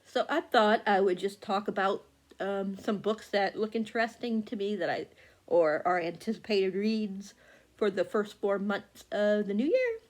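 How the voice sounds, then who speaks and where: A woman's voice: medium pitch, expressive, measured pace. Rambling woman book vlogger. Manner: rambling, many fillers and restarts. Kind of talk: casual chat. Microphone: phone.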